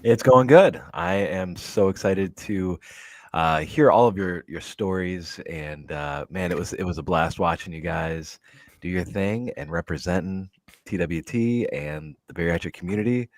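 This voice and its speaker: A man's voice: low voice, a little slow, no room sound. A man co-hosting a casual podcast, friendly, stumbling and repeating words as he talks. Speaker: podcast co-host. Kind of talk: casual chat.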